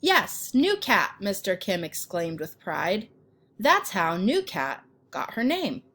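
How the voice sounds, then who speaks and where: A woman narrating a children's picture book as an expressive read-aloud storyteller. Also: on the low side. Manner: pauses to ask the listener questions and point out pictures.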